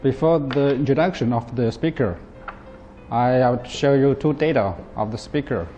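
A man in his thirties with a soft, dark-toned voice presents a product in non-native English. His delivery is plain and halting as he explains step by step, with faint music behind him. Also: medium pitch, dull audio. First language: not English. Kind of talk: product demonstration. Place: indoor office at a table.

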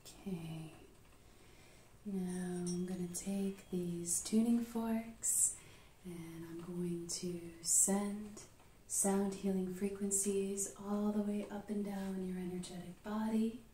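Slow soft-whispering woman in an echoey room. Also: mid-range voice, fairly even intonation, slight background noise. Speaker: reiki practitioner. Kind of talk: ASMR reiki session. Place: small treatment room indoors. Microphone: far camera.

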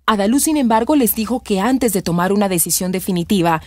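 A woman's voice: mid-range voice, expressive, brisk, no room sound, studio quality, lightly acted. A woman narrator reading scripted narration for a radio drama. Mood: storytelling.

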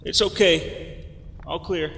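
Man acting a high, bright, nervy soldier. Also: slow pace, vocal fry, slight background noise, heavily acted. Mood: cautious. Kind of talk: audio drama acting. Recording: reverberant cave effect.

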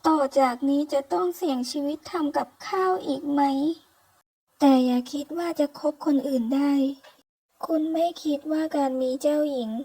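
A woman's voice: sits high, normal speed, dark tone, poor audio. A woman voicing a cheeky, food-loving young woman held captive in a comic-story dub, with chatty, playful delivery. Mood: bargaining. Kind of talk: comic-story dubbing read aloud.

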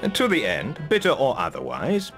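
An actor playing a loyal, noble elf archer in a video game, formal and earnest. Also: male voice, mid-range voice, huge pitch swings, normal speed, a bit bright. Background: music.

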